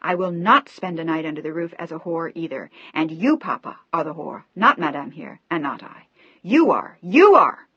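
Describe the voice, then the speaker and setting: A woman voicing a furious young daughter who shouts and sobs her accusations, in a dry, slightly dull recording. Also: low voice, fairly quick, few pauses, husky, dry recording, heavily acted.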